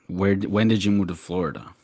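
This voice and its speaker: A man's voice: low voice, fast talker, darkish tone, dry recording, studio quality. A young man speaking as a podcast host in a casual, slangy interview style. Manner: informal, slangy.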